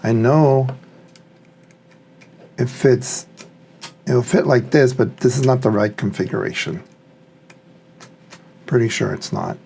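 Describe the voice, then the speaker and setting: A man's voice: mid-range voice, slow pace, gravelly, pauses often, warm tone, slight background noise. A man thinking aloud in a casual, unscripted, tentative way as he reviews a product. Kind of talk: casual unscripted unboxing commentary.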